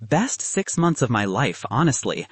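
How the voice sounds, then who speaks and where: A man's voice: medium pitch, big pitch swings, a little slow, slightly rough, no room sound. A man voicing a candid, wry young woman physicist. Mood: wry, upbeat. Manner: dry, frank, advice-giving.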